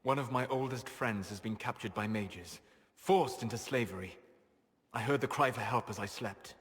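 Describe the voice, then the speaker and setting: An actor playing a grave, scholarly elven male mage with measured, formal phrasing in a video game drama. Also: medium pitch, a bit bright, long reverb tail, natural voice.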